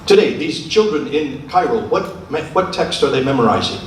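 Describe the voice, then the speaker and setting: A man's voice: mid-range voice, sing-song, normal speed. A man lecturing and debating in public, assertive and pressing in the way he talks. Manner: assertive, pressing with repeated questions. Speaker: lecturer and debater taking audience questions. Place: large echoey lecture room. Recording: very echoey hall, distant mic, faint noise.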